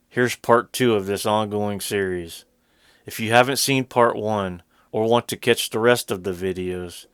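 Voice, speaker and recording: Guy narrating his video from a script, crisp smooth voice, measured, really dry sound. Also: fairly low pitch, no echo.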